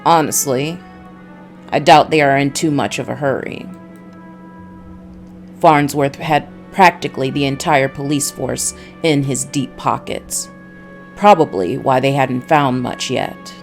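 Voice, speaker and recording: Weary noir private eye, ex-cop, read by a woman: low, dry, brooding narration over light music. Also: a little slow. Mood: cynical.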